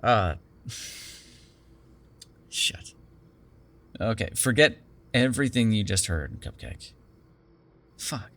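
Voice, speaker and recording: A man's voice: fairly low pitch, expressive, takes it slowly, pauses often, fairly bright tone, heavily acted. A man playing a chatty, defensive vampire captor in an intimate ASMR roleplay, rambling casually with plenty of swearing. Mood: flustered, wry. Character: chatty, defensive, self-deprecating male vampire captor with a soft spot.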